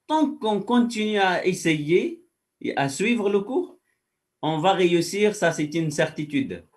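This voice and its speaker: A man's voice: sits high, animated, a little slow, recorded from a distance. A man, a faculty director, giving explanatory answers as an interview guest and patiently walking through first-person examples.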